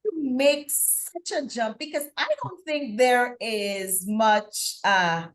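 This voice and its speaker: Woman, interview host, polite and chatty, somewhat high voice. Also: lively intonation, takes it slowly, roomy sound. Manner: courteous and chatty, light laughter. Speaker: host and court official. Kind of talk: live online interview.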